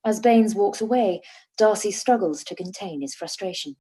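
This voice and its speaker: A woman narrating stage directions in a plain, measured way for a radio play reading. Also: medium pitch, warm tone, husky.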